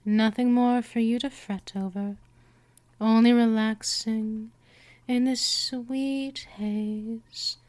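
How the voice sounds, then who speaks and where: A woman playing a gentle but dominant vampire mistress in a scripted hypnosis ASMR roleplay, soothing and teasing, often slipping into whispers. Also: on the high side, steady tone, slow pace. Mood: tender, hypnotic.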